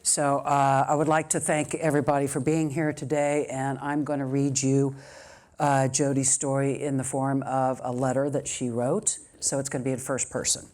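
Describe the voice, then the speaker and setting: A woman's voice: a bass voice, level tone, moderate pace, a little mellow. A woman in her sixties giving a public speech and reading a friend's letter aloud, with chatty asides between careful reading.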